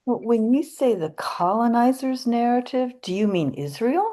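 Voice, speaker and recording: A woman's voice: fairly high pitch, expressive, normal speed, warm tone, lightly acted. A woman in a readers theater playing a hesitant but skeptical questioner who challenges what she hears. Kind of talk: scripted readers theater dialogue.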